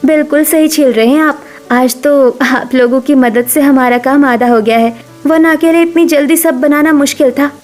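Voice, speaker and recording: A woman voicing a warm, hospitable village housewife in a cartoon family story. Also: high voice, moderate pace, hardly any pauses, soft background music, natural voice. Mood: cheerful.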